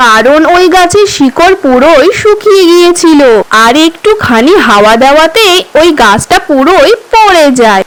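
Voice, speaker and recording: Woman narrator, high voice, lively swooping intonation, emphatic, children's fable storytelling. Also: rough recording.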